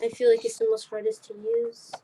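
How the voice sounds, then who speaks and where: A woman's voice: extremely high pitch, sing-song, brisk, creaky, mellow. A young woman chatting casually and hesitantly as an interview guest. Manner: hesitant, trailing off. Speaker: interview guest, stage play cast member. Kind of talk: casual chat.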